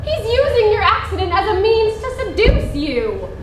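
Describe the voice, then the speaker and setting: A woman's voice: very high-pitched, very animated, normal speed, recorded from a distance. An actress in a stage play playing a heroine's feisty younger self, exasperated and challenging as she speaks emphatically. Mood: exasperated, mocking. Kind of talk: live stage play acting. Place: theatre stage, distant microphone. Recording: echoey, noisy theatre recording from afar.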